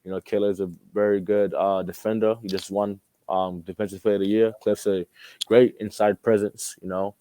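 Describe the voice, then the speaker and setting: A man's voice: lowish voice, level tone, moderate pace, mellow, no room sound. A young man from Australia, a college athlete being interviewed, talking casually with informal, repetitive phrasing. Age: young adult. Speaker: college basketball player, interview guest.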